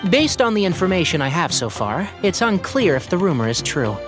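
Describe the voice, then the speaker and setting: Casting: a calm young explainer, fairly high and lively in tone, music underneath. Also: male voice, a little fast. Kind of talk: video game voice acting.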